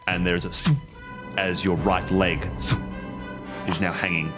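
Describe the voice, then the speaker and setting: A man improvising narration as game master of a tabletop game, in a hesitant, halting way. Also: deep voice, little pitch movement, over music.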